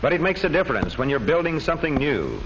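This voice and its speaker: A man narrating an old educational history film from a script, in measured, declamatory storytelling phrases. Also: a little high, very animated. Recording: dull old film soundtrack with faint noise.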